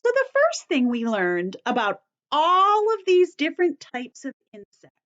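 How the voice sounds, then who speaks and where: High, lively woman teaching young children, unhurried. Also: highs cut off. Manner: simple, deliberate, repetitive teacher talk to children. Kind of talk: story-time lesson for young children.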